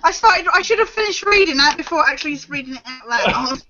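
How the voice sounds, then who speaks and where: A woman's voice: sits high, animated, brisk, pushed and bright. A woman in her thirties chatting casually and teasingly as a panellist on an online show. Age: 30s. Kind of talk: casual chat. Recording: dull, noisy online call with clicks.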